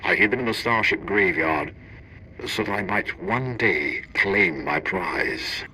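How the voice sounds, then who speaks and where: An actor playing a greedy, boastful renegade officer in a video game, speaking in a deliberate, grandiose monologue. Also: male voice, sits low, lively intonation, pushed and bright, a little hiss or hum, exaggerated voice, low-quality recording. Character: greedy, boastful renegade male military officer recounting hidden treasure.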